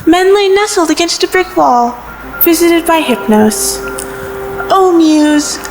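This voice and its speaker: A woman playing a solemn, poetic narrator in an audio play, measured and declamatory. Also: high voice, full character voice, low-quality recording. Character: solemn poetic storyteller invoking the Muse.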